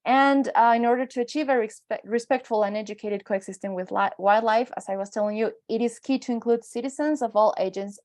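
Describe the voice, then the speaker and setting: A woman's voice: fairly high pitch, a little fast, few pauses, darkish tone. A woman speaks as a conservation educator. She presents earnestly, as if to an online panel. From Mexico.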